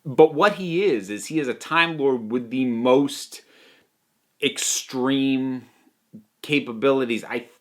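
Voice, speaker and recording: Man, medium pitch, conversational reviewer, opinionated, emphatic stresses on key words. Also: takes it slowly. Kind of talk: spoken audio drama review to viewers.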